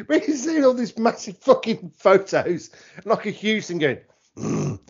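A man's voice: high-pitched, big pitch swings, a little slow, slightly rough, lo-fi sound. A British man co-hosting a podcast, bantering casually about films in a sweary, rambling way and slipping into mocking impressions. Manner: sweary, rambling, mimics film characters mockingly. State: tipsy. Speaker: podcast co-host.

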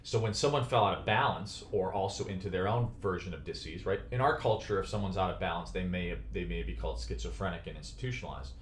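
A man's voice: low-pitched, fast talker, hardly any pauses, a little hiss or hum. A man in his forties from Chicago, talking as a podcast guest in an earnest, thoughtful way and often restarting phrases as he searches for words. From United States (Chicago). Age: 40s. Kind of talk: reflective conversational interview. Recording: roomy echo.

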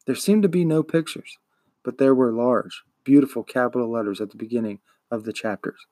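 A man's voice: medium pitch, fairly quick, mellow, dry recording. A man reading a children's book aloud as a storybook narrator. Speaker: podcast host, audiobook reader.

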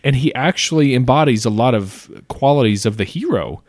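A man's voice: medium pitch, expressive, a little fast, darkish tone, no room sound, clean studio sound. A man co-hosting a podcast, talking through a classic story in a casual, analytical way, full of fillers and restarts. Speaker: podcast co-host. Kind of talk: casual literary discussion.

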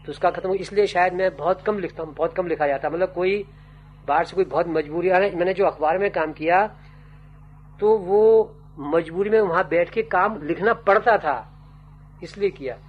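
An older man, a writer and poet, giving slow, musing interview answers that trail off into fillers. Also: high-pitched, slightly rough. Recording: dull old tape with faint hum.

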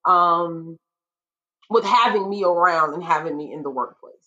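A woman with a deep voice speaking earnestly and assertively in a casual panel discussion. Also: moderate pace, pushed and bright, lo-fi sound.

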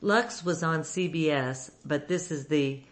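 A woman's voice: low voice, a little slow. A woman giving a scripted introduction as the host of a show. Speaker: podcast host.

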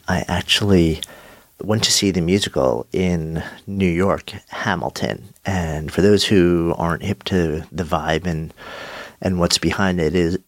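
A middle-aged man hosting his own podcast, talking reflectively with a slightly nasal voice from a cold and pausing often. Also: low-pitched, dry recording. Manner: thoughtful, measured, with frequent pauses and fillers. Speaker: podcast host. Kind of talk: solo reflective podcast monologue.

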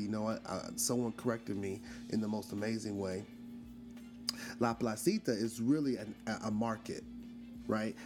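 A man in his forties from Brooklyn tells a rambling story in a relaxed, conversational way. He talks with plenty of fillers and restarts and keeps checking in with his listeners. His pitch sits in a middle range for a man, and soft music plays faintly behind him. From Brooklyn, New York. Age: 40s. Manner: chatty, frequent fillers and restarts, asks listeners questions. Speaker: podcast host. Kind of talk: casual storytelling monologue.

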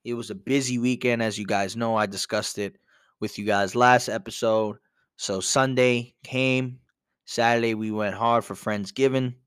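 A man's voice: mid-range voice, fairly even intonation, normal speed, a bit bright, smooth, no echo, studio quality. A young man hosting a casual solo podcast, telling a story in a rambling, conversational way with lots of "you know". He sounds a bit congested from a cold. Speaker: podcast host.

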